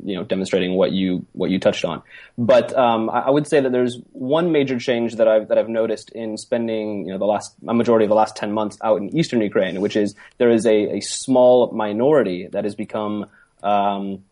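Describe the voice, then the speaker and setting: Male interview guest, lowish voice, halting and reflective. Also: dark tone. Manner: hesitant, many ums and restarts. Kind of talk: conversational interview.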